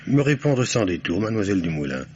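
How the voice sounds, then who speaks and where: An actor playing a composed, formal police commissioner who questions politely in a 1950s French radio mystery. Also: male voice, lowish voice, big pitch swings, fast talker, a little mellow, a little hiss or hum. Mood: firm, formal. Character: composed, courteous, probing male police commissioner. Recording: dull vintage radio recording.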